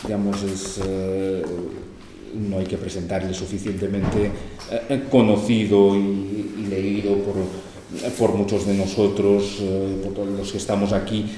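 A man's voice: low voice, flat delivery, a little slow, warm tone. A man moderating a conference session and introducing the speaker, talking hesitantly with many fillers and restarts. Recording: echoey hall with faint noise.

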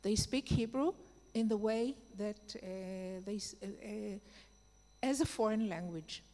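A woman answering as a panel speaker, measured and pausing often with fillers. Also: medium pitch, warm tone. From Israel. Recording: reverberant hall.

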